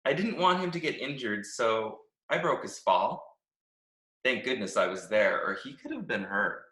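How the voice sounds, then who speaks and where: A man reading a children's storybook as a cheerful, oblivious talking stick, gushing with naive excitement. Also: mid-range voice, moderate pace. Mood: excited, naive. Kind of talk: animated children's storybook read-aloud. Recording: echoey room.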